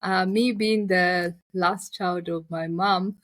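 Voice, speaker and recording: A woman's voice: fairly low pitch, steady tone, takes it slowly, dry recording. A woman with a Zambian accent telling her personal story as an interview guest, in a reflective, conversational way. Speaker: interview guest, finance business founder.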